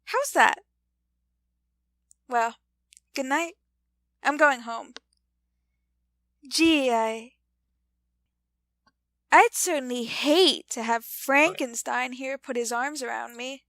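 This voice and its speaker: Female actor as playful 1940s radio-thriller woman: high-pitched, slow-drawling, teasing and breezy, closely and dryly recorded. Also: a bit bright, dry recording, full character voice. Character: breezy, teasing woman humoring an obsessed inventor. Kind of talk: retro 1940s radio drama acting.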